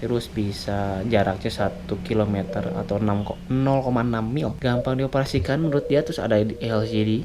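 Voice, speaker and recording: A man's voice: fairly low pitch, fairly quick, few pauses, crowd noise. A man reviewing products in a casual, jokey, unscripted way on a noisy, clicky recording. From West Java, Indonesia. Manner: jokey, chuckles often, colloquial.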